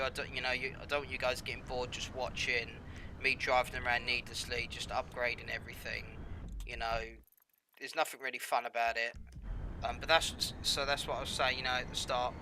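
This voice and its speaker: A man's voice: mid-range voice, background noise. A man with a cold and a slightly nasal voice, giving casual, rambling gameplay commentary full of ums and you-knows. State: has a cold, a bit nasal.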